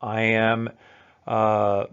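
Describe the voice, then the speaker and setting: A man's voice: on the low side, monotone, very slow, fairly bright tone, clear, resonant tone, lo-fi sound. A man with an American accent giving a recorded college lecture, talking in a loose, conversational way with fillers and restarts. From United States. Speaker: college instructor.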